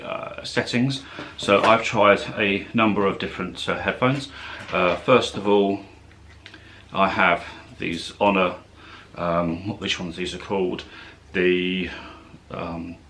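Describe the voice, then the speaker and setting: A man with a fairly low-pitched voice talks through a product review. His delivery is casual and unscripted, with an informal, slightly hesitant way of explaining things. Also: bright, forceful tone. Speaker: tech reviewer.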